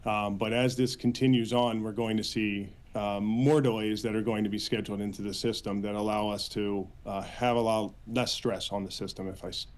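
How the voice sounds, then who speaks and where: Earnest American man, halting interview delivery. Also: lowish voice, steady tone. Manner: halting, hesitant phrasing with frequent repeats, earnest. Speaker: union official, interview guest. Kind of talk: spoken news interview answers.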